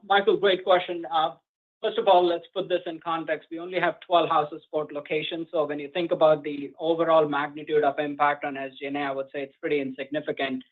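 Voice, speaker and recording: Even-toned male executive on conference phone. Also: fairly high pitch. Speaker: company executive. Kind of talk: earnings call answers to analysts. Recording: narrowband conference phone line.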